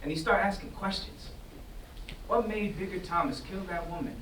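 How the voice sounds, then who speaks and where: A man's voice: high voice, natural voice. Man playing defensive teenage son, roomy distant recording. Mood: agitated, indignant. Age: young adult. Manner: colloquial, halting, repeating phrases insistently. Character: troubled, defensive teenage son pleading with his mother. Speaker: drama student acting in a staged reading. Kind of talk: staged play reading, naturalistic acting. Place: indoor staged reading before festival, distant mic. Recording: roomy, distant stage pickup, faint noise.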